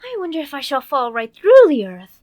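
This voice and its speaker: Storybook little girl, played by a woman narrator: high, naive, curious, musing aloud to herself. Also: very animated, brisk, a little mellow. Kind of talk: storybook character voice.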